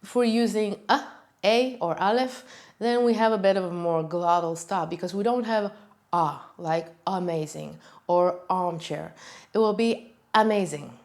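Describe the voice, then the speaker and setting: A Hebrew teacher with an Israeli accent, a native Hebrew speaker, giving an upbeat, emphatic video lesson. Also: female voice, measured pace. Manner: upbeat, emphatic, talks with lively pitch jumps on key words.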